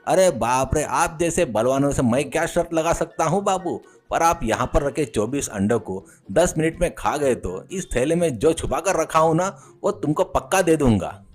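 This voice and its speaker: A man's voice: a little high, voice pushed up. A man voicing a humble, ragged beggar with a wheedling, mock-innocent manner in a comic moral story. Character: humble ragged beggar man pleading, secretly a police inspector.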